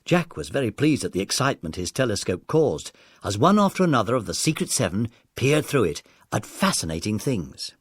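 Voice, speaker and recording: A man's voice: on the low side, big pitch swings, brisk, husky, no echo, studio quality. A man narrating a scripted children's audiobook story.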